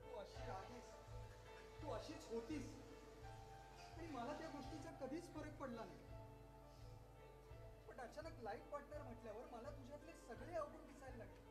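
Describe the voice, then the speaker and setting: A young male actor playing a frustrated lover in a stage play, speaking emphatically and haltingly and repeating himself. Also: very high-pitched. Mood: troubled. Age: young adult. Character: frustrated young man quarrelling with the woman he loves. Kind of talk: one-act stage play dialogue. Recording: echoey distant stage recording, loud music.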